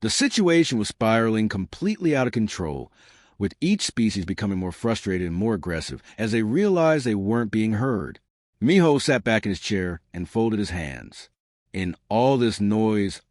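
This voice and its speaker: A man's voice: fairly low pitch, darkish tone, no echo, clean studio sound. A man reading a scripted science fiction story as its narrator.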